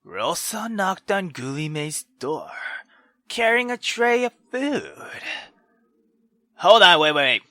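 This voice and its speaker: Male let's player, informal and expressive, unhurried delivery with lively pitch, reading a story game aloud. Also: dry recording, studio quality. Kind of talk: reading a visual novel aloud with reactions.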